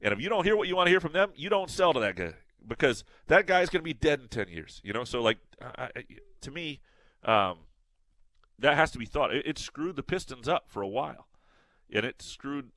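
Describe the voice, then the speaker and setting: A man's voice: a little high, sing-song, brisk, sharp tone, dry recording. A man co-hosting a sports podcast in casual chat, a little nasal, rambling with restarts and fillers. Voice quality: slightly nasal.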